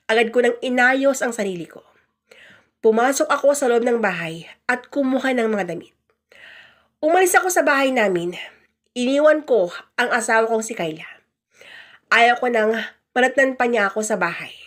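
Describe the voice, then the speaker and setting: A woman with a fairly high voice narrates a life story in the first person. The delivery is scripted and dramatic, in the manner of radio storytelling. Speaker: storyteller reading a letter sender's story.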